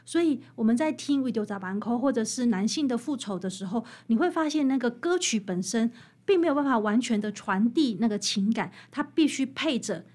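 This woman has a fairly high voice and a Taiwanese Mandarin accent. She talks as an interview guest, explaining history conversationally and stressing key words as she tells her stories in an animated way. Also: brisk. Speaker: author guest.

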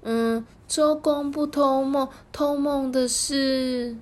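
A woman's voice: high voice, fairly even intonation, takes it slowly, darkish tone, voice pushed up. A woman voicing a curious little fox spirit who stammers and pleads. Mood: pleading.

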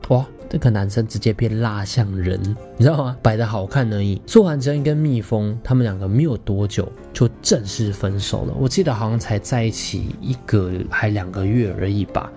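A man's voice: lowish voice, moderate pace, few pauses, mellow, faint music behind. A man in his thirties with a Taiwanese Mandarin accent, hosting a podcast and telling a personal story in a theatrical, playful way. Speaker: podcast host.

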